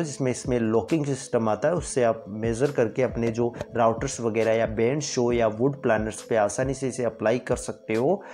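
A man's voice: mid-range voice, dark tone. A man giving a rambling voice-over review of woodworking tools, repeating himself often. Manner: rambling, repetitive filler-heavy explanations. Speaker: product reviewer.

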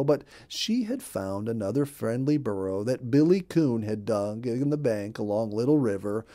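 A middle-aged man narrating a children's story in an expressive storybook manner. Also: fairly low pitch, gravelly. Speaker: storyteller, audiobook reader. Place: indoor room.

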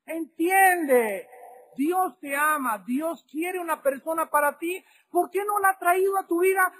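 A man preaching an impassioned sermon that rises to shouts. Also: very high-pitched, a little hiss or hum.

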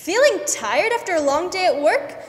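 Very high, sassy 1940s saleswoman, acted out. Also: big pitch swings, moderate pace. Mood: sassy, assured. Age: young adult. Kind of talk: 1940s radio ad acting. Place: theater stage, standing mic. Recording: echoey theater hall.

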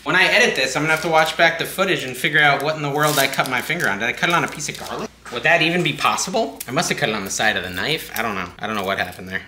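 Thirties guy cooking at home, chatty and kinda hoarse, roomy echo, hissy backup mic. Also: medium pitch, very fast. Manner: chatty, self-deprecating, excitable. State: losing his voice. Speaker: cooking channel host. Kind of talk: casual cooking vlog. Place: home kitchen, indoors. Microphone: backup camera mic at a distance. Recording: echoey kitchen, noisy backup mic.

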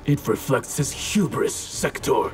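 A man's voice: medium pitch, expressive, a little slow, soft background music, lightly acted. An actor voicing a proud, defiant male ninja clan leader.

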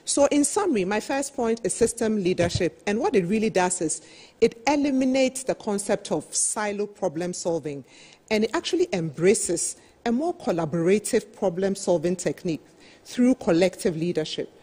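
A middle-aged woman with a slightly husky voice, giving an emphatic, explanatory business presentation as a panel speaker, often saying "you know". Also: medium pitch, expressive, moderate pace, mellow. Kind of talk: business presentation at a televised roundtable. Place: television studio, seated at a desk.

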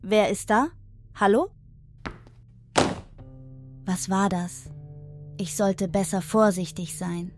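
A woman's voice: medium pitch, steady tone, takes it slowly, long pauses, over music, close to an everyday voice. A woman playing a curious, uneasy young woman exploring a haunted house in a horror audio drama. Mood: nervous. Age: young adult.

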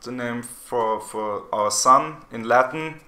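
Giggly, bright-voiced male podcast host. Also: mid-range voice, slow. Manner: joking, giggly, hesitant restarts. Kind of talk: casual film review chat.

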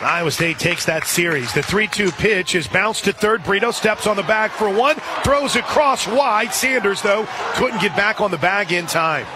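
A man doing live radio play-by-play of softball in a conversational way, as the announcer for the home side. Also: high voice, moderate pace, few pauses, noisy background. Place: outdoor softball stadium broadcast. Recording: crowd and music behind. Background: stadium crowd, music.

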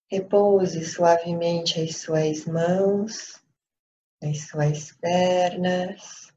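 A Brazilian woman leading a guided meditation as a teacher, speaking calmly in short phrases with long pauses. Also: on the low side. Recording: dull lo-fi sound with light background noise.

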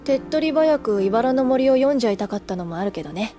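A woman's voice: a little high, lively intonation, quick pace, warm tone, soft background music, natural voice. An actress playing a candid older schoolgirl in an anime audio drama, languid and teasing with a wistful streak. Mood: candid, calm.

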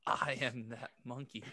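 A man's voice: mid-range voice, pushed and bright, no room sound. A man in his thirties co-hosting a podcast with casual, giggly, self-mocking banter into a close microphone. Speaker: podcast co-host. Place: home room, video call. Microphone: close desk microphone with headphones.

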